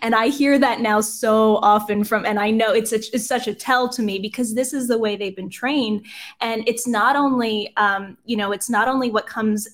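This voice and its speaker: A woman's voice: a little high, fairly even intonation, moderate pace. A woman hosting a political interview, speaking emphatically and repeating her points for stress. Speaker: host.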